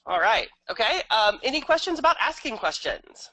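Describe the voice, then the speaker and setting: Woman, bright forceful voice, dry close sound, training host, deliberate emphatic explanations. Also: a little high, big pitch swings, dry recording, rough recording. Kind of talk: instructional training talk.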